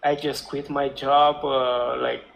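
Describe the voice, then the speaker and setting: A man in his thirties from Romania, speaking English with a Romanian accent as a second language, halting and searching for words as a guest in a casual chat. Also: mid-range voice, level tone, creaky, a bit bright, a little hiss or hum. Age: 30s. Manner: hesitant, halting, many fillers and restarts.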